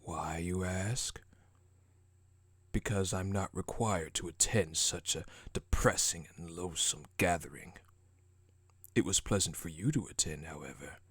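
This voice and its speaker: A man's voice: sits low, unhurried, fairly bright tone, slightly rough, no room sound, exaggerated voice. A man playing a haughty, power-hungry prince in a dramatic audio roleplay, with a contemptuous, menacing and formal delivery. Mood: cold, dismissive. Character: cold, haughty, power-hungry prince who scorns weakness.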